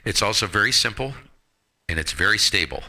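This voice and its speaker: Deep, very bright-voiced man. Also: expressive, brisk. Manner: emphatic, colorful slangy talk. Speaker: amateur radio operator and streamer. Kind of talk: casual ham radio chat.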